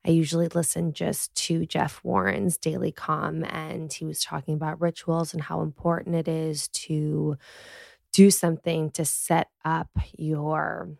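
Gravelly-voiced woman with a stuffy cold. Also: sits low, flat delivery, unhurried, darkish tone, dry recording, studio quality. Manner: reflective, easygoing, with filler "like". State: congested from a cold. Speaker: podcast host. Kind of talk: casual solo podcast chat. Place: dim bedroom.

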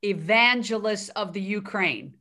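Woman podcast host, upbeat and keen, mid pitch, chatty interview style. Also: expressive, unhurried, sharp tone. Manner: upbeat, enthusiastic, cuts in to highlight points. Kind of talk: casual interview chat.

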